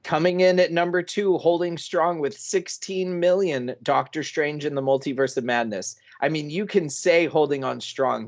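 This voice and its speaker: Male podcast host, voice on the higher side, casual and playful, with an announcer streak. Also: dull audio. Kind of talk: casual comedic chat.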